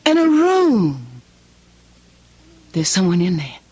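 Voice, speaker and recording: An actress playing a frightened but feisty young bride held captive, melodramatic and indignant in a radio drama. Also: female voice, lowish voice, huge pitch swings, slow, darkish tone, highs cut off, exaggerated voice. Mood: frightened, defiant. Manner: breathy gasps, indignant, melodramatic.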